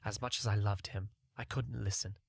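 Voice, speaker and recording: Male audiobook narrator, low voice, dry close sound, first-person story reading. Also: steady tone, moderate pace, vocal fry, breathy edge, no room sound.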